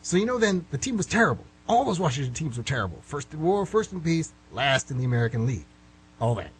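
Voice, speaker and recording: A man's voice: on the high side, slight background noise, rough recording. A man acting a flustered, middle-aged guy who nervously rambles and corrects himself in an amateur radio drama. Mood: rambling, exasperated. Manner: nervous, halting, self-correcting, excitable. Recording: slightly rough amateur radio recording.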